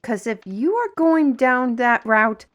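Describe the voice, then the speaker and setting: A woman's voice: a little high, animated, takes it slowly, dull audio. Woman playing a wry, businesslike fantasy colleague. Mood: exasperated. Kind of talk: fantasy audio drama acting, expository.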